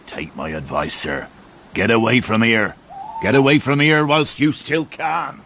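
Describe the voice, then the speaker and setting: An actor playing an insolent, superstitious gamekeeper in a radio drama, blunt and menacing. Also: male voice, medium pitch, measured pace, creaky, fairly bright tone, slight background noise. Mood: ominous warning.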